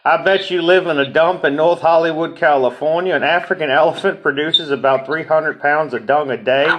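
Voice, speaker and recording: Mocking, higher-voiced man reading comments. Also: a little fast, muffled recording. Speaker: reader of online comments. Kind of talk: informal dramatic reading of comments.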